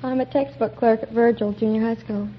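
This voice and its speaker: A woman's voice: on the high side, fairly even intonation, fairly quick. A woman of eighteen answering questions as a radio quiz contestant. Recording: dull, noisy vintage radio recording.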